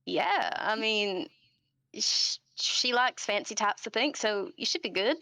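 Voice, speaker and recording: A woman's voice: fairly high pitch, lively intonation, slow pace, pushed and bright, no room sound, poor audio. A woman playing a tabletop game, chatting informally in character with a giggly manner. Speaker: player. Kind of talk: casual improvised in-character chat.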